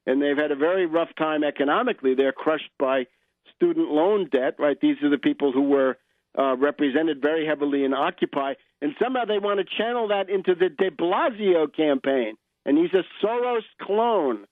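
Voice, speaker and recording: A man's voice: a little high, no room sound. An American man hosting political talk radio, giving opinionated, sardonic, professorial commentary and often tagging points with "right?". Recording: narrow-band phone line on radio.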